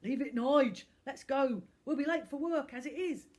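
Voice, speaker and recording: A woman voicing a loud, fawning working man caught bullying a boy in a storybook. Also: sits high, normal speed, voice pushed up, lightly acted. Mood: loud wheedling.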